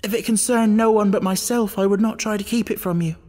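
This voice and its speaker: A man's voice: high voice, steady tone, brisk, a little mellow, big-room sound, voice pushed up, natural voice. A man voicing an agitated, evasive butler who pleads deferentially, in an audiobook of a classic mystery. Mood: pleading.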